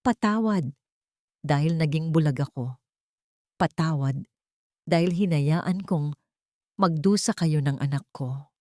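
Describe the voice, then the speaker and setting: A woman's voice: sits low, slow pace, long pauses, mellow, dry recording, clean studio sound. A woman with a Filipino accent voicing a remorseful wealthy father, solemn and pleading, in an audiobook drama.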